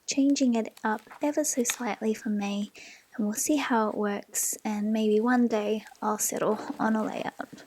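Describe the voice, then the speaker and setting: An Australian woman talking through her planner pages in a gentle, often half-whispered way. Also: on the high side, fairly even intonation, unhurried, slight background noise. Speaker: planner vlogger. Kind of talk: soft-spoken planner flip-through. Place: home desk.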